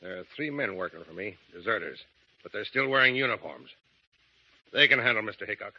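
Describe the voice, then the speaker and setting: An actor playing a sly, crooked gun-runner with a low, smooth, scheming delivery in a 1930s radio drama. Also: male voice, fairly quick. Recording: dull 1930s radio broadcast.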